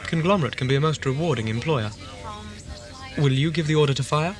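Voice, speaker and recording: Guy doing a menacing corporate spaceship boss, cool n formal, lightish pitch, music behind. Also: fairly quick, close to an everyday voice. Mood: coolly menacing. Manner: coolly taunting, formal. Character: smooth, menacing male corporate starship commander. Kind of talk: science fiction audio drama acting.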